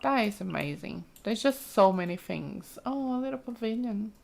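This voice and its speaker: A woman's voice: a little high, expressive, normal speed, gravelly. A woman giving casual, chatty let's play commentary, thinking aloud with frequent exclamations as she explores a game. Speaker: gaming streamer, let's play host.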